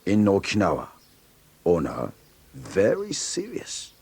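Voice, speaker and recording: A man's voice: fairly low pitch, wildly swooping pitch, slow, gravelly, darkish tone, slightly rough, exaggerated voice. A man playing an older, stoic Okinawan karate master in a read-along story, speaking terse broken English with a Japanese accent. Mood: solemn, wise.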